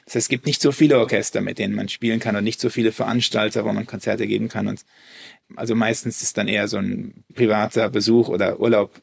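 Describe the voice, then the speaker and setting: A man's voice: lowish voice, steady tone, quick pace, creaky, breathy edge. A man, a German native speaker and violinist, talking as a guest in a casual interview, hesitant with many restarts and fillers.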